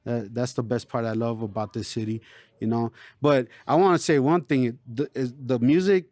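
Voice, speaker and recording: A man born in Chicago and raised in El Paso, a rapper talking casually in an interview, relaxed and streetwise, always saying "you know". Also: mid-range voice, moderate pace, dry recording. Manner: laid-back, streetwise, lots of "you know". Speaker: local rapper, interview guest.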